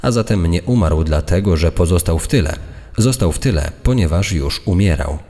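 A man's voice: deep voice, lively intonation, normal speed, warm tone. A man reading out accounts in a scripted, narrated style. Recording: long hall-like reverb.

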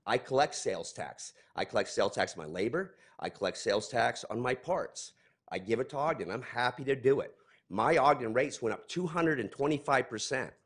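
A man's voice: mid-range voice, expressive, a little fast, breathy edge. A man in his forties giving a heated, accusing public comment at a podium mic in a large council chamber.

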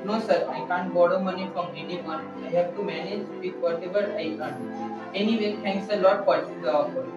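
A man's voice: high voice, normal speed, highs cut off, natural voice. A young man with an Indian accent playing a polite employee in an amateur student play, in an echoey space. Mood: worried. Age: young adult. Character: worried, proud, polite young male employee. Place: indoor stairwell and home. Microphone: phone camera at a distance. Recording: echoey, distant phone, music behind.

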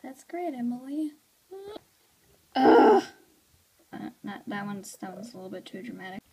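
A woman's voice: medium pitch, sing-song, a little slow, mellow, rough recording. A girl chatting through blooper outtakes of her toy skit, rambling and self-mocking.

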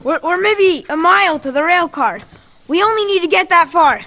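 A woman's voice: very high-pitched, lively intonation, moderate pace, pushed and bright, exaggerated voice. A woman playing a stubborn, earnest young boy in a post-apocalyptic audio drama, urgent and blunt. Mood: urgent, insistent.

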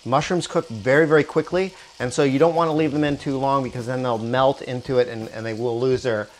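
Calm man teaching a cooking demo. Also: medium pitch, fairly quick, slight background noise. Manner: calm step-by-step instruction with small restarts. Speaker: cooking show host. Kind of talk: cooking demonstration. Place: home kitchen indoors. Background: soft music and sizzling wok.

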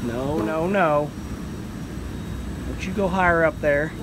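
A man's voice: fairly high pitch, slow pace. A middle-aged beekeeper, chatting casually to viewers while he works outdoors at an airport, recorded on a phone camera set nearby. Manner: chatty, talks to viewers as "y'all". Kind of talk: casual vlog narration while working. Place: outdoors at an airport, near a truck. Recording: outdoor airport noise behind.

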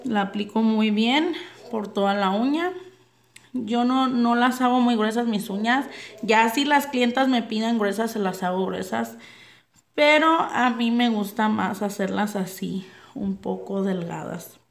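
A woman's voice: a little high, unhurried, gravelly. A young woman, not a native Spanish speaker, chatting through a nail tutorial at home and addressing her viewers as girls. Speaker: nail artist. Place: home nail table, dogs barking outside.

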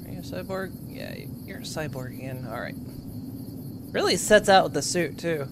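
Hoarse woman chatting through a game stream. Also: sits low, lively intonation, moderate pace, background noise. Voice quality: throat-clearing, slightly hoarse. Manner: chatty, talks to herself while playing. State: losing her voice. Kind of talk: casual gameplay commentary.

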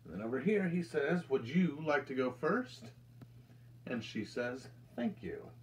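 An American man in his thirties reads a picture book aloud to children with deliberate, expressive storytelling delivery. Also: medium pitch, a little hiss or hum. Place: indoors, small room with curtain backdrop.

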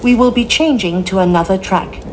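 A woman's voice: medium pitch, a little slow. A woman giving scripted onboard announcements as a train announcer, formal, polite and carefully phrased. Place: inside a train, over the announcement system. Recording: noisy train cabin recording.

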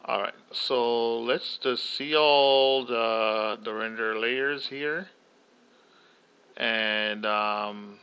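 A young man casually talking through a software tutorial, thinking aloud with fillers and restarts. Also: mid-range voice, very slow, clean tone. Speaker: tutorial host. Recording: slightly dull lo-fi audio.

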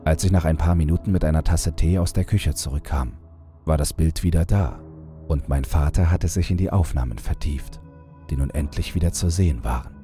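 A man's voice: very deep voice, brisk, dark tone, soft background music. A man reading scripted first-person horror fiction as an audiobook narrator.

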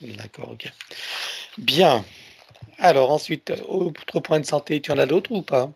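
Gravelly man in his sixties asking questions. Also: on the high side, slow pace, no room sound. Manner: brief acknowledgments, calm probing questions. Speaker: hypnotherapist. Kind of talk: therapy intake interview. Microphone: headset on video call.

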